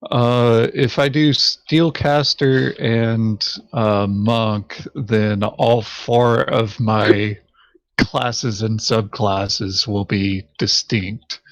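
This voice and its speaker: Chill guy, really slow talker, kinda low voice, matter of fact board game chat, very dry sound. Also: steady tone, no echo. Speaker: board game player on a playthrough video. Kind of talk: casual chat while playing a board game.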